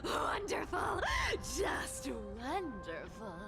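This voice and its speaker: A woman's voice: high-pitched, sing-song, very slow, creaky, raised voice, full character voice. A woman voicing a sly, haughty, greedy trickster demigod boss in a video game, theatrical and commanding. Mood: delighted, greedy. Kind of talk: animated video game voice acting. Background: game music.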